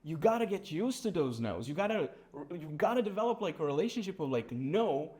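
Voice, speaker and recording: A man's voice: on the high side, brisk. A young man from Serbia with a Serbian accent, telling sales stories in a casual business chat, peppering his talk with "bro" and "like". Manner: animated storytelling, says "bro" and "like" a lot. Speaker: sales agency co-founder.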